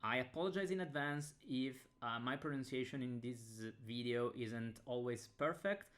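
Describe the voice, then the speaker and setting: A man with an Italian accent, Italian his first language, talking through an unboxing on a webcam, with many pauses and fillers. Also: medium pitch, fairly bright tone. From Italy. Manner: hesitant, many fillers and restarts. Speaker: game designer reviewing a game. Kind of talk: casual unboxing talk.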